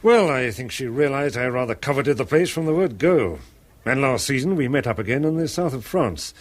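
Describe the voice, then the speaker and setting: A breezy, wealthy playboy, played by a male actor. His mid-pitched voice swings widely and theatrically, jovial and clubby, as in a seventies radio drama. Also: animated, fairly quick, lightly acted. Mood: breezy, boastful. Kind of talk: 1970s radio horror drama acting.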